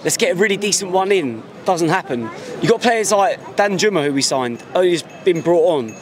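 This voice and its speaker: Man, 20s, fairly high voice, emphatic football fan, street interview outside a stadium. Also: expressive, a little fast. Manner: passionate, emphatic, repeats words. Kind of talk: street vox pop interview. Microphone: handheld mic held by interviewer.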